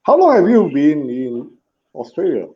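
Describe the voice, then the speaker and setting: Man, live-stream interview host, earnest, slow with uh fillers and restarts, muffled lo-fi audio. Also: fairly high pitch, huge pitch swings.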